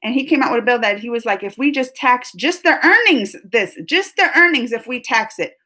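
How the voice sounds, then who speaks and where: A woman's voice: a little high, animated, brisk, pushed and bright. Emotional rambling woman streamer. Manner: emotional, rambling, many restarts and fillers. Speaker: livestream host. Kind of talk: casual live stream politics chat.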